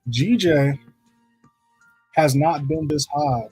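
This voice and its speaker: A man with a deep voice hosting a live trading stream, talking casually through charts and often checking in with "right?". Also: takes it slowly, darkish tone, husky, soft background music, low-quality recording. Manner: loose, repeats "right?" to check in with viewers. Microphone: streaming setup microphone.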